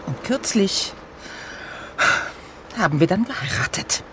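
An actress playing a haughty housekeeper turned scholar's wife, indignant and self-important, in a radio sketch. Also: female voice, mid-range voice, expressive, slow. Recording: car and traffic noise behind. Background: vehicle ride ambience.

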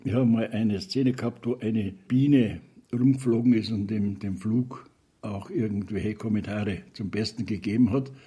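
Older retired sound guy chatting about the old days, very raspy dark voice, soft music under. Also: fairly low pitch, steady tone, moderate pace. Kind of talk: interview recollection.

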